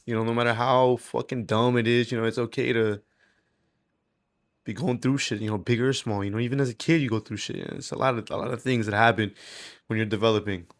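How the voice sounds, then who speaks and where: A man's voice: mid-range voice, fairly even intonation, fairly quick. A man, speaking candidly in a rambling, slangy, sweary way, as in a casual confessional video diary. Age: 20s. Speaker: vlogger.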